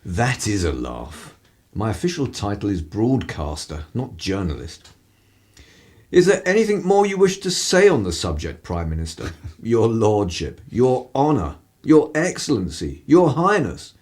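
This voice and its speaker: An actor playing a cynical, irreverent 1930s English broadcaster in a radio play, with a husky voice and sardonic, theatrical turns of phrase. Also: male voice, lowish voice, very animated, unhurried, a little mellow. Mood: mocking, theatrical.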